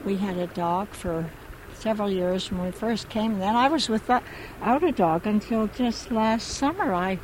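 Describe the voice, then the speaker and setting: A woman's voice: medium pitch, measured pace, a little mellow, slight background noise, rough recording. An older woman from Canada, chatting in a street interview on an outdoor beach, exclaiming and restarting her sentences. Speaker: interviewee, local dog walker.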